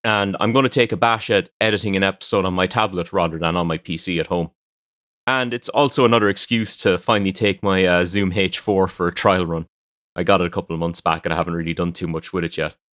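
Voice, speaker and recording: A man's voice: sits low, fairly even intonation, fast, a bit bright, dry recording, clean studio sound. A man presenting a story podcast in a scripted narration style, as host and storyteller. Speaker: podcast host and fiction writer. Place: indoors at a desk at home.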